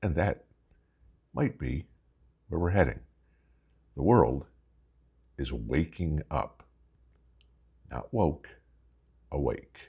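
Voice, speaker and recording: An American man hosting a vlog with casual political commentary, talking in a folksy, emphatic, halting way and posing rhetorical questions. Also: very deep voice, big pitch swings, creaky, slightly rough, dull audio. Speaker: host of a preparedness vlog.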